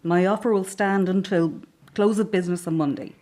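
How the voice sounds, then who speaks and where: A woman playing a brisk, formal, coolly polite corporate executive in a naturalistic radio drama. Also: lowish voice, warm tone, close to an everyday voice. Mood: cool, irritated. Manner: formal, businesslike.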